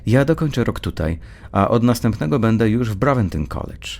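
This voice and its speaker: An eager teenage girl , acted by a male narrator, speaks in a dark audio story. The voice is lifted and lively, keen and persuasive, with an earnest, caring manner. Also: fairly low pitch, a little fast, voice pushed up.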